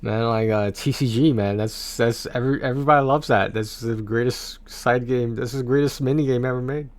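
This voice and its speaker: A man's voice: medium pitch, fairly even intonation, a little fast. A man livestreaming about video games in a casual, rambling, conversational way, speaking to his viewers on a close mic.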